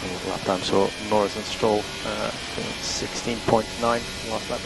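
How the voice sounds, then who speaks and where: Low gravelly man on noisy race radio. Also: steady tone. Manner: measured, matter-of-fact, repeats key instructions. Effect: team radio transmission. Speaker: Formula One race engineer. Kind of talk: team radio instructions to a driver during a race. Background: vehicle and engine noise.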